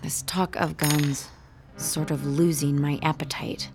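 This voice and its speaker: A woman's voice: low voice, flat delivery, unhurried, music in the background. An actress playing a young female artist in an intimate audio drama, troubled and stubborn, with a wry, direct manner. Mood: wry, casual. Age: young adult.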